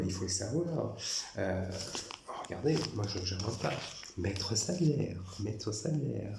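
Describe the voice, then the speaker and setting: A low-voiced man from France talks in a long, rambling monologue, circling back over the same points with insistent repetition. His voice has a rough, gravelly fry, and the room around him rings with echo. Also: noisy background. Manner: repetitive, insistent, restarts phrases. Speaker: former prison guard recounting grievances.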